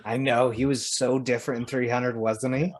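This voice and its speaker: A man's voice: medium pitch, level tone, normal speed. A man in casual, informal conversation as a podcast co-host.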